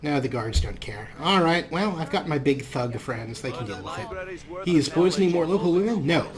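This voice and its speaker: A man's voice: on the high side, expressive, moderate pace, a crowd in the background. A man hosting a let's play, giving sarcastic, playful commentary and role-playing his character. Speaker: host.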